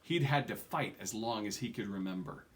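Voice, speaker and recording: A man's voice: lowish voice, normal speed. A middle-aged man reading a fantasy novel aloud at home, expressively, stressing key words. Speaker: amateur book reader. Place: small furnished room at home.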